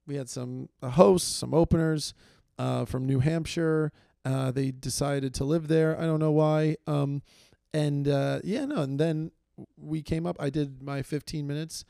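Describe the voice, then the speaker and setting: Young man, comedy podcast host, gravelly, dark mellow tone, dry close mic, rambling casual chat. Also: fairly high pitch, steady tone, a little slow, no echo. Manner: rambling, self-deprecating, many fillers and restarts. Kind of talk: casual solo comedy monologue. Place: small room, filmed on video.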